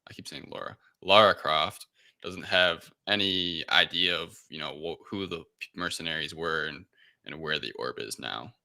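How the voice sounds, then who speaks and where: Very bright, deep-voiced man chatting. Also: no room sound, studio quality. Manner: informal, loosely improvised retelling with quoted asides. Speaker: podcast host recapping a film. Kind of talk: casual film recap chat.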